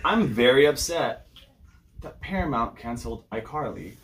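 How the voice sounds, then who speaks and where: A man's voice: medium pitch, lively intonation, normal speed, a bit bright, roomy sound. A man ranting and joking profanely in a casual podcast chat.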